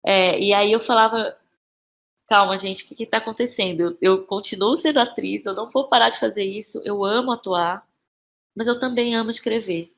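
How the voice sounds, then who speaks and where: A Brazilian woman in her thirties speaking as a guest in a conversational podcast interview, thinking aloud haltingly and repeating phrases. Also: medium pitch, vocal fry, fairly bright tone. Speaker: interview guest, playwright and actress.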